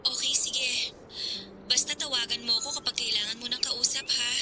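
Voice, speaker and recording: A woman's voice: on the high side, steady tone, moderate pace, lightly acted. A woman playing a loyal, protective teenage best friend in a Tagalog radio drama, coaxing and insistent. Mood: supportive. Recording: loud music behind the voice.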